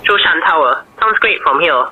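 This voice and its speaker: A man's voice: on the high side, normal speed, phone-quality sound. A man with a Chinese accent acting as air traffic controller, giving clipped, formal radio instructions. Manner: clipped, formal, even delivery. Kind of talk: radio phraseology.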